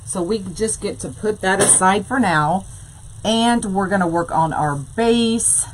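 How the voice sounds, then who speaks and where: A woman's voice: mid-range voice, unhurried, a little hiss or hum, rough recording. A woman, chatting through a live wreath-making demonstration and answering viewers as she works. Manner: chatty, says "y'all", answers live chat. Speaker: wreath-making tutorial host. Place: craft room full of ribbon shelves.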